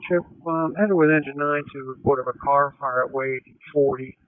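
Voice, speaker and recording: A man's voice: mid-range voice, steady tone, normal speed. A man working as a fire battalion officer, making businesslike calls over a two-way radio. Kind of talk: emergency radio traffic. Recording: muffled narrowband radio transmission.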